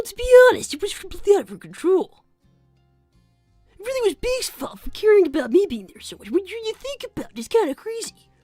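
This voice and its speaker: A woman's voice: high-pitched, dark tone, no echo. A woman voicing an anxious, earnest young boy fox who stammers defensively on a character livestream. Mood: flustered, defensive. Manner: stammering, flustered. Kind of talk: improvised character livestream with donation reading.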